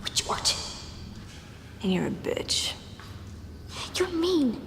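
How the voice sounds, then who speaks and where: A woman's voice: high-pitched, huge pitch swings, slow, vocal fry, heavily acted. An actress playing an angry, jealous woman in a comic film monologue, whispering furiously into a phone with indignant outbursts. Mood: furious, insulting. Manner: hushed, indignant, swooping into outbursts. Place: large museum gallery, indoors. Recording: echoey reverberant hall, some noise.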